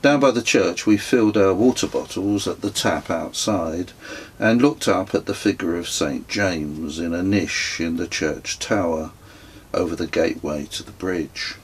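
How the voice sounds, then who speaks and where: A middle-aged man reading his own travel memoir as scripted narration, in a measured, reflective way, with a husky voice. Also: deep voice, slight background noise.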